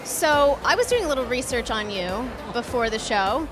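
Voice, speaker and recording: A woman's voice: fairly high pitch, expressive, normal speed. Bubbly woman host on a red carpet. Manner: bubbly, upbeat, inquisitive. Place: indoor event red carpet with crowd and music. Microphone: handheld mic. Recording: noisy event with loud music and crowd.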